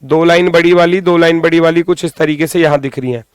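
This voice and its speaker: A man's voice: a little high, fairly even intonation, fast, smooth, no room sound, clean studio sound. A man teaching a live exam coaching class informally, giving directives and repeating phrases for emphasis, his throat strained as he recovers from losing his voice. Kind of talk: live class lesson, thinking aloud.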